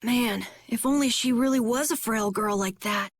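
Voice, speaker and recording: A woman voicing an excitable, boastful young boy in a video game, earnest and whiny, easily flustered. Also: a little high, moderate pace, no room sound. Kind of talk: English video game dub acting.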